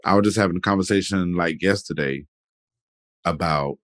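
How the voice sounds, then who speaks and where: Deep-voiced man hosting a podcast. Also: moderate pace, fairly bright tone, no echo, studio quality. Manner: loose, repetitive, with many fillers and restarts. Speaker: podcast host. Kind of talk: casual interview chat.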